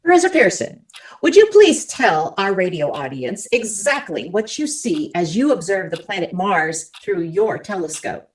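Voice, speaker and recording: A woman's voice: fairly low pitch, big pitch swings, moderate pace. A woman playing an earnest radio commentator, reporting and interviewing in a formal old-time radio drama style. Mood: inquiring. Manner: formal, clearly phrased, attentive questioning.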